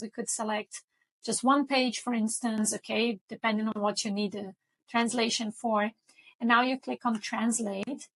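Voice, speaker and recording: A woman whose first language is Portuguese, hosting a software tutorial and explaining each step in a chatty way, often checking in with the listener. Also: fairly high pitch, creaky, poor audio. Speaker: tutorial host. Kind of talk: screen-recording software tutorial.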